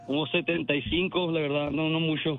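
A man from El Paso, Texas, calls into a radio show, speaking hesitantly with plenty of fillers. His fairly high voice comes through a dull, lo-fi phone line with a little music behind it. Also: flat delivery, a little fast, gravelly. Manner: hesitant, lots of fillers, shy laughs. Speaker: caller.

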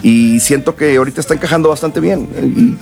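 A man from Mexico and South Texas, a musician guest chatting casually, relaxed and rambling with many fillers. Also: fairly high pitch, fast talker, gravelly, soft background music. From northern Mexico and South Texas. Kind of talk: casual interview chat.

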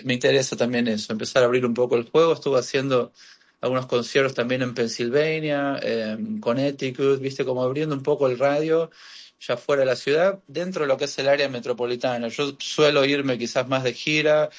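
A man's voice: mid-range voice, steady tone, a little fast. A man in his late thirties with a Buenos Aires accent, talking casually as a musician being interviewed, hesitant and rambling with trailing repetitions. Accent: Argentine (Buenos Aires). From Buenos Aires, Argentina. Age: late 30s. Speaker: musician guest, guitarist and singer-songwriter.

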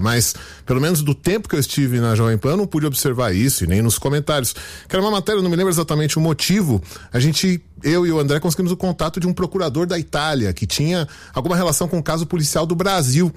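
A man with a Brazilian Portuguese accent, a radio reporter telling a memory of a colleague in a conversational storytelling manner. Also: fairly high pitch. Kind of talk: recalling a colleague on air.

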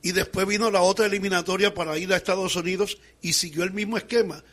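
A middle-aged man with a Caribbean Colombian accent talks in a conversational, reminiscing way. His voice sits high for a man and has a husky, rough edge. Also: fairly even intonation, quick pace, fairly bright tone. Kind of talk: conversational reminiscing about football.

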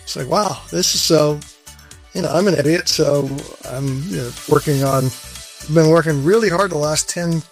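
A man's voice: fairly high pitch, normal speed, soft background music. A man in his sixties, a guest in a casual interview, talking candidly and with self-deprecating humor, often breaking into a laugh. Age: 60s.

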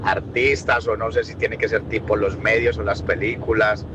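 A man's voice: fairly low pitch, flat delivery, normal speed, muffled recording, noisy background. A man from Colombia with a paisa accent, chatting casually and playfully in colloquial speech as a listener leaving a voice message. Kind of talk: casual chat.

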